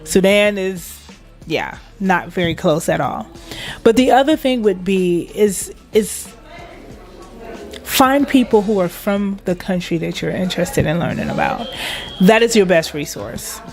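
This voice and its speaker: A woman's voice: mid-range voice, lively intonation, unhurried, faint music behind. A woman from Liberia, a guest in an interview, speaking with pride and emphasis and laughing often. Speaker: guest, internet radio station manager. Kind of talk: casual interview chat.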